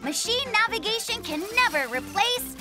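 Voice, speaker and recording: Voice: very high voice, sing-song, moderate pace, loud music behind the voice. An actor voicing a careful, earnest and slightly smug young boy polar bear who explains things in a children's cartoon. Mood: proud, wry.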